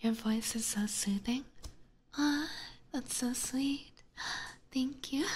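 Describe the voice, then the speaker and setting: A woman softly whispering in a gentle, hesitant ASMR style, chatting casually with viewers up close to the microphone. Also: sits high, slow. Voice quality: soft, breathy, mostly whispered. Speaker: ASMR streamer and host. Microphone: close binaural ASMR microphone.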